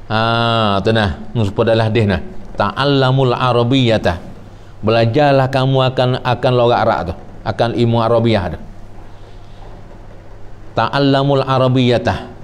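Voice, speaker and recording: A man teaching a traditional religious lesson. He explains slowly, repeats phrases and quotes Arabic before glossing it. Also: mid-range voice, clear, resonant tone.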